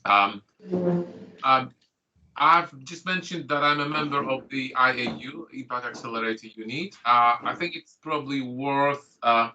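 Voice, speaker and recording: A man's voice: mid-range voice, measured pace, bright, forceful tone, muffled recording. A middle-aged man whose first language is Polish, a doctor opening an online training course in a chatty manner full of fillers and restarts, speaking into a computer mic on a video call. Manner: chatty, filler-heavy, self-correcting.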